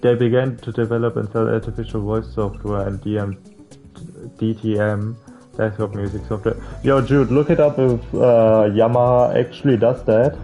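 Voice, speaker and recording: A man's voice: lowish voice, fairly even intonation, normal speed, dark tone, muffled recording. A man doing a casual, informal game playthrough, reading text aloud with stumbles and some swearing and adding his own comments. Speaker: let's player reading a visual novel aloud. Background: game music.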